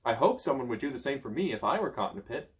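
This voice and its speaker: A man's voice: mid-range voice, big pitch swings, fast, close to an everyday voice. A man voicing an earnest, curious young adventurer in a storybook. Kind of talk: storybook reading.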